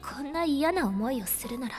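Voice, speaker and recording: A woman's voice: high voice, animated, moderate pace, darkish tone, soft background music. An actress playing a timid, lovelorn young woman in a radio drama, wistful and introspective, moving between narration and dialogue. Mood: hurt, wistful.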